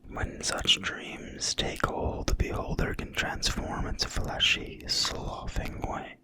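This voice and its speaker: An ASMR creator reading in a quiet, breathy, soothing whisper with no voicing at all. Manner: quiet, slow, soothing delivery. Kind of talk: whispered ASMR reading of a fantasy game manual.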